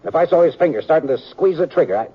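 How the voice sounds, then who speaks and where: A man's voice: a little high, expressive, racing, husky. An American man playing a weary, troubled sheriff in a radio western, plain-spoken and folksy. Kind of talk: 1950s radio western acting. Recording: muffled 1950s radio recording, faint noise.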